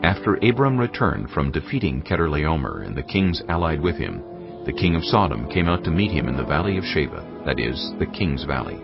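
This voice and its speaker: A man narrating scripture in a measured, grave storytelling manner. The audio is dull and lo-fi. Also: extremely low pitch, sing-song, vocal fry. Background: music.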